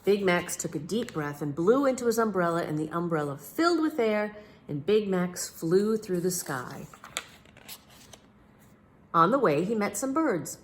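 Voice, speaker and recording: Female storyteller, lower-toned and highly expressive, for children's picture-book read-alouds. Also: a little slow, a little hiss or hum. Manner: animated, theatrical delivery for children.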